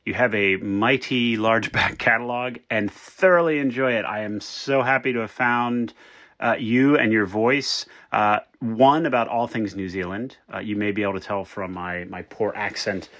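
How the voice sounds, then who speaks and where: A man's voice: fairly low pitch, steady tone, moderate pace, a bit bright, no room sound, clean studio sound. A man from the United States leaving a rambling voicemail for a podcast, full of fillers and restarts. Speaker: caller. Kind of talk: voicemail call-in message.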